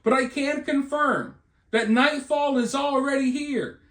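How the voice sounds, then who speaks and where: A man's voice: very high voice, a little slow, a bit bright. An American man giving informal, speculative news commentary in a conversational, rhetorical way, often checking in with his listeners. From United States. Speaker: online video commentator and channel host. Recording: roomy, echoing voice recording.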